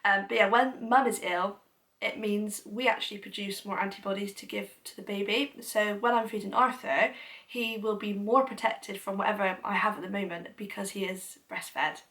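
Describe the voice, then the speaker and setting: A woman's voice: medium pitch, fairly even intonation, normal speed, fairly bright tone, far from the mic. A young woman with a cold and a blocked-up nose, chatting enthusiastically to camera as a parenting vlogger, with lots of "you know". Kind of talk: casual explanatory talk to camera.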